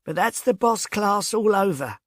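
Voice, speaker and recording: A man's voice: sits high, expressive, a little slow, fairly bright tone, dry recording, studio quality, voice pushed up. A man voicing an aged, mercurial butler in a storybook, affable and familiar with a grumbling manner. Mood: affable, indignant.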